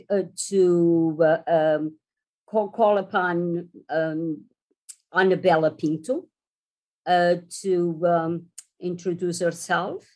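A woman moderating an online panel, halting often with fillers as she introduces speakers. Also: on the low side, a little mellow.

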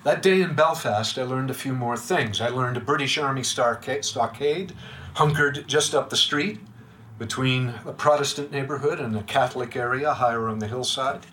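An older man reads a reflective talk aloud in a measured, literary way. His voice is bright and forward, and it sinks softly at the ends of lines. A faint hum sits behind him. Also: mid-range voice, poor audio. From United States. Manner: measured and literary, dropping quiet at line ends. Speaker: speaker giving a lecture. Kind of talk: reading a prepared reflective talk. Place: room with a live audience.

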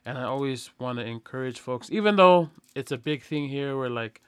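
Animated man hosting a casual interview. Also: mid-range voice, moderate pace, smooth, no echo. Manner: animated and emphatic, with frequent "you know" and "right?". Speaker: host.

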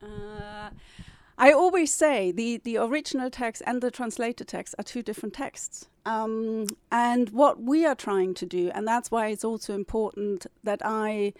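A woman's voice: on the high side, unhurried, a little mellow, no echo. A woman whose first language is German, a publisher and novelist, answering interview questions in a thoughtful way, with fillers and restarts.

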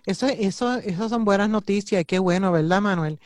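Host asking stuff, calm and measured, dry close recording. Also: medium pitch, no room sound. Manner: questioning, measured. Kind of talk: casual chat.

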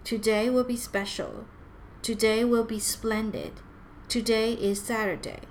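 A woman's voice: on the high side, steady tone, unhurried, a little hiss or hum, pitched above the performer's own voice. A woman voicing a loving mother in a picture book, dramatic, easily flustered and reassuring. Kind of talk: children's picture-book read-aloud.

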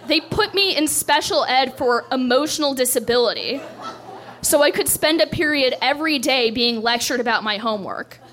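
A woman's voice: high voice, normal speed, a bit bright. A young woman doing a stand-up set, telling a story in a dry, self-deprecating way on a club stage. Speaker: stand-up comedian.

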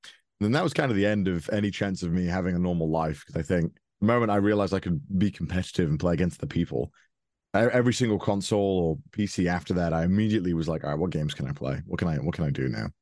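Deep-voiced young man chatting. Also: quick pace, darkish tone, no echo, studio quality. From Wales. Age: 20s. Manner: hesitant, many restarts and fillers, laughs often. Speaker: guest. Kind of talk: casual interview chat.

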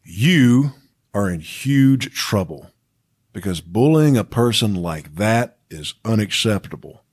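A man playing a firm, no-nonsense school principal in an amateur radio play, speaking in a measured way. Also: on the low side, big pitch swings, darkish tone, breathy edge, heavily acted. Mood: stern.

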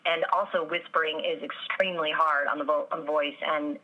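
A voice actress answering interview questions, with a slightly raspy voice and many pauses and ums. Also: female voice, low voice, steady tone, phone-quality sound. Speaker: voice actress guest.

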